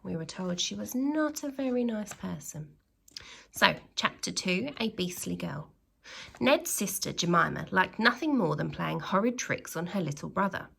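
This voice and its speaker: A woman reading a children's storybook aloud like a teacher, with animated, dramatic emphasis and little asides to her young listeners. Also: fairly low pitch, creaky, breathy edge. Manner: expressive, with dramatic emphasis and exclamations; pauses to address young listeners with questions.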